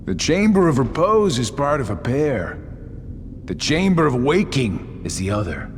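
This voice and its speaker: An actor playing a sly, mocking villainous schemer in a video game cutscene, with a teasing, drawling, insinuating delivery. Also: male voice, mid-range voice, sing-song, unhurried, long reverb tail, a little hiss or hum. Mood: conspiratorial.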